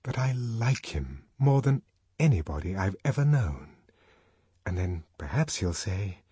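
A male narrator gives voice to a lovestruck young woman in a novel, anxious and melancholy as she thinks to herself. The voice is husky and dry, with expressive rises and falls. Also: sits low, measured pace, no room sound, heavily acted. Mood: anxious hope.

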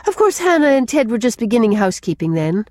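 Woman reading as lively, plucky young woman. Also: a little high, fast talker, no room sound, clean studio sound. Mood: musing. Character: young woman, sensible, plucky, chatty, flustered when cornered. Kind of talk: audiobook character dialogue.

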